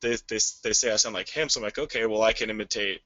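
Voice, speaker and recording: Deep-voiced man asking on a call. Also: fast talker, a bit bright, dry recording, dull audio. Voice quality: naturally deep voice. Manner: eager, rambling, hesitant fillers. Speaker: webinar caller, aspiring voice actor. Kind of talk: asking questions on a webinar.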